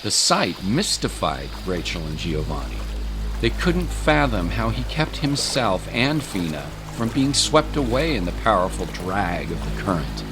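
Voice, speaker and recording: A man's voice: lowish voice, big pitch swings, measured pace. A man narrating a dramatic scripted story. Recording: music and rain sounds behind.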